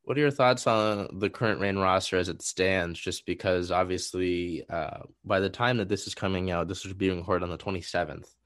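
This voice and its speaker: A man with a very low voice hosts an interview. He asks long questions that loop back on themselves, with frequent fillers and restarts. The sound is dry and close. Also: fairly even intonation, moderate pace, hardly any pauses, a bit bright, clear, resonant tone, no room sound. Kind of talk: conversational podcast interview.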